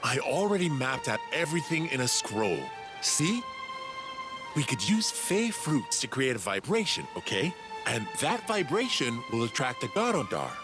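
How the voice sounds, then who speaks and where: A man's voice: mid-range voice, very animated, normal speed, natural voice. A man voicing an eager, cocky young alien inventor who explains things condescendingly in a video game. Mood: eager explaining. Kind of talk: video game cutscene dialogue. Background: background music.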